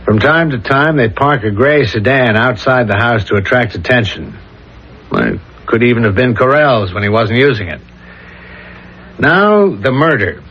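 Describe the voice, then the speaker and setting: An actor playing a dogged, methodical police inspector in a 1970s radio drama, questioning and reasoning aloud. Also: male voice, medium pitch, very animated, husky. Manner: probing, deliberate, methodical questioning. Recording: dull lo-fi old radio recording.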